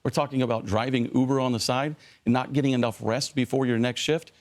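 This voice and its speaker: A man's voice: mid-range voice, fairly even intonation, fast. A man speaking as a union president in a news interview, with an emphatic, polished spokesman's delivery.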